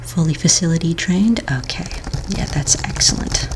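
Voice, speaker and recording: A woman speaking in a soft, breathy near-whisper, playing a courteous, patient office manager in a gentle ASMR roleplay. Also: low-pitched, moderate pace, noisy background. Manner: gentle, polite, reassuring clerical patter.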